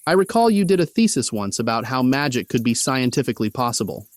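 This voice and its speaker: A man's voice: mid-range voice, sing-song, quick pace, no room sound, studio quality, close to an everyday voice. A man acting an easygoing, slightly stressed college-age artist in an audio drama, talking casually with some hesitation. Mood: casual, animated. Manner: casual, hesitant slangy talk.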